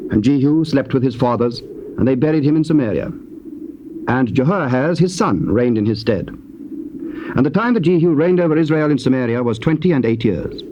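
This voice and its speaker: A man narrating dramatized scripture in a solemn, measured storytelling manner. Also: mid-range voice, lively intonation. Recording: dull, noisy old cassette tape.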